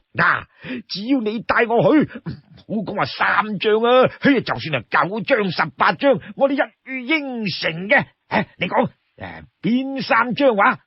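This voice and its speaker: A man's voice: high voice, animated, measured pace, husky, dry recording, pitched above the performer's own voice, full character voice. A man voicing a boisterous, wheedling outlaw warrior in a storybook tale, pleading and exclaiming as he talks. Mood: eager. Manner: pleading, exclamatory, stammers when cornered. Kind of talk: Cantonese storytelling character voice. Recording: dull, close dry studio sound.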